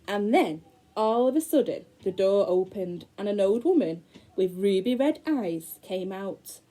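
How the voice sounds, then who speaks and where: Expressive teacher reading a fairy tale. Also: female voice, mid-range voice, poor audio. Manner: expressive, slow, dramatic storytelling with gasps. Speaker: primary school teacher. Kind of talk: storybook read-aloud for young children.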